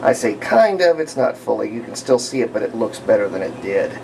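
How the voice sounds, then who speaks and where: Casual man with a gravelly, creaky voice. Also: on the low side, sing-song, background noise. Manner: unhurried, rambling, explaining step by step. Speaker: hobbyist radio restorer presenting own repair videos. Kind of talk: casual hands-on restoration commentary. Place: indoors at home, partly in a bathroom.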